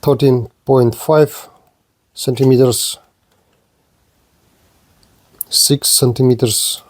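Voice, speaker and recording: A man giving an unscripted hands-on product demonstration as a reviewer, speaking haltingly in short, broken-up phrases. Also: medium pitch, fairly even intonation, no echo. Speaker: gadget reviewer presenting a radio.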